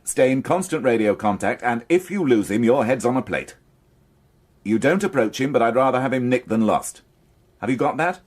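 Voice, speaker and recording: An actor playing a weary, curt police inspector in a British radio crime drama. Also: male voice, mid-range voice, expressive, normal speed, lightly acted. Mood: commanding. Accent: British English.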